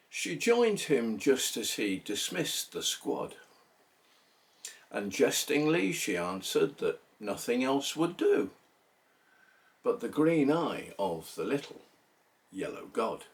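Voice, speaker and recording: A man giving a theatrical, measured recitation of a narrative poem, pausing between phrases. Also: medium pitch, gravelly, recorded from a distance. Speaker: poetry reader.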